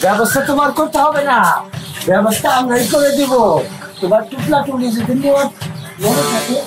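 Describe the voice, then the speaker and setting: A man's voice: very high-pitched, brisk, over music, rough recording. A man playing a menacing, bossy village uncle, the villain of a drama, who threatens and commands. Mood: threatening.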